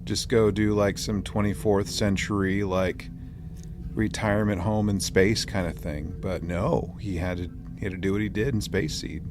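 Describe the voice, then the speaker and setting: A man's voice: sits low, fairly even intonation, moderate pace. A man co-hosting a podcast in casual, conversational chat. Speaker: podcast co-host. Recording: clear background noise.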